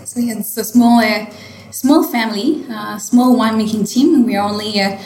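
A woman's voice: on the high side, fairly even intonation, moderate pace, darkish tone, reverberant, a little hiss or hum, poor audio. A French woman in her late twenties, a winemaker being interviewed, speaking English with a French accent and hesitating often with fillers and restarts. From France. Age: late 20s. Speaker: winemaker guest. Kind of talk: interview answers. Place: hotel wine cellar.

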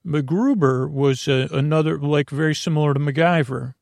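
Creaky-voiced man rambling soothingly. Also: on the high side, moderate pace, a little mellow, no room sound. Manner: meandering, hesitant, self-interrupting, mock-questioning himself; lulling, soothing. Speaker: sleep podcast host. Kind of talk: rambling bedtime monologue.

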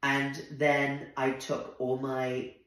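A man from Zimbabwe, a drag performer guesting on a podcast, telling a story in animated, indignant bursts with quoted dialogue. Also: mid-range voice, extremely slow. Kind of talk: casual conversational storytelling. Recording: very echoey room.

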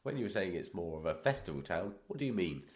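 A man with a cold co-hosting a podcast, joking, teasing and reading aloud haltingly. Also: sits low, sing-song, echoey room. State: has a cold. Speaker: podcast co-host. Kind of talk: casual banter.

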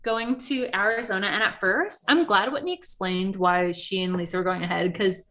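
A woman's voice: mid-range voice, normal speed. Chatty young woman, laughing and cursing. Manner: animated, laughs often, swears freely. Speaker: podcast co-host. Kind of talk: casual reality TV recap chat.

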